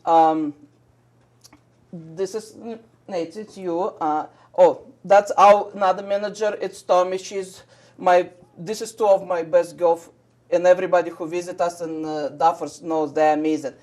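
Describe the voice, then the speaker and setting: A woman from Russia with a Russian accent, a shop owner chatting eagerly about her products in halting English with many fillers. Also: fairly low pitch, a little mellow. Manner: enthusiastic, halting non-native English with fillers. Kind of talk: casual chat.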